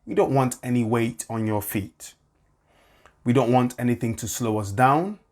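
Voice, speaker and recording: A man delivering scripted spoken word styled as an airline boarding announcement, in a measured, deliberate, preaching way. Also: mid-range voice, darkish tone.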